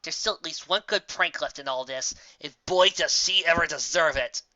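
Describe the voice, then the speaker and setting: Storybook pegasus girl, male reader, brash and stammering, forceful and high, on a dull home mic. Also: moderate pace, no room sound. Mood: excited. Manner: stammering, blurting. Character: brash, defensive female pegasus with a hot temper. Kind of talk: fan fiction storybook reading.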